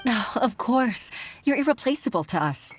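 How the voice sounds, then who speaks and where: A woman's voice: on the high side, very animated, normal speed, slight background noise. A woman playing an earnest, humble secretary and mother, speaking politely and heartfelt in an anime video game. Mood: reassuring.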